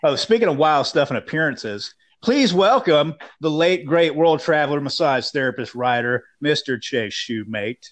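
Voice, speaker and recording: A man hosting a podcast, chatting in an animated, joking way and swearing casually. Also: on the high side, normal speed, hardly any pauses, dry recording. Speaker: podcast host. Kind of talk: casual chat.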